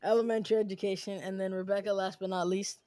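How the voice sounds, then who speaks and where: A young adult hosting a casual podcast, upbeat and teasing, with quick asides. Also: mid-range voice, flat delivery, dark tone, no room sound. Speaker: podcast host.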